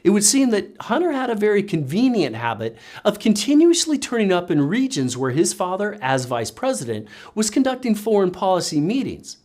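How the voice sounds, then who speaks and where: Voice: mid-range voice, sing-song, brisk, few pauses, a little mellow. A narrator reading scripted political commentary with pointed, sardonic emphasis.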